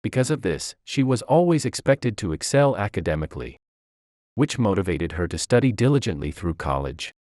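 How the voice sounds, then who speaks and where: A man's voice: fairly low pitch, very animated, brisk, darkish tone, no echo, clean studio sound. A man reading a scripted first-person story as its narrator.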